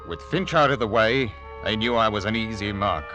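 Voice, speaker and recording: An actor playing a beleaguered male ship captain, narrating gravely in a 1940s radio drama. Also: fairly low pitch, lively intonation, moderate pace, highs cut off, close to an everyday voice. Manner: grave, tense, flaring into shouts. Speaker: narrator and lead. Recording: old radio broadcast with music underneath.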